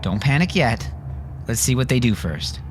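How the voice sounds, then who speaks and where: A man's voice: medium pitch, sing-song, normal speed, faint music behind, pitched above the performer's own voice, lightly acted. A man voicing a tough, terse woman on the run, pressing and commanding, in an audio story. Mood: steadying. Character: Miranda, tough urgent armed woman on the run.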